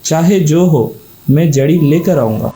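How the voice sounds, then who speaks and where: A man's voice: on the high side, measured pace, mellow, a little hiss or hum, natural voice. A man voicing a brave, devoted village father in a horror cartoon, earnest, in a very echoey recording. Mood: resolute. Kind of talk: Hindi horror cartoon story dialogue. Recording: very echoey, long reverb, music behind.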